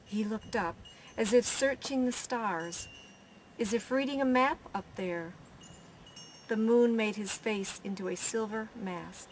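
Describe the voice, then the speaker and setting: A woman storyteller reading a children's picture book aloud, with measured phrasing, pauses and drawn-out words. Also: medium pitch. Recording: faint background noise.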